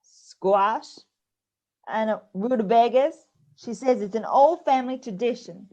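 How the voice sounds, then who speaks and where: A woman's voice: a little high, measured pace, dark tone, lightly acted. A woman playing a sour, sarcastic ghost mother-in-law in an amateur radio play. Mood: sour, grudging. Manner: dry, grudging, sarcastic. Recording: slightly dull, lo-fi audio.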